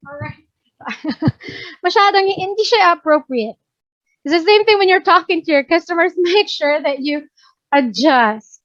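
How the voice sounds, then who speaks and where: A woman's voice: extremely high pitch, expressive, unhurried, fairly bright tone, no room sound, dull audio. A woman trainer with a Filipino English accent, chatting casually as she teaches, mixing Tagalog and English, often checking that she's understood. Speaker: workplace communication trainer. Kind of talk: casual instructive training talk.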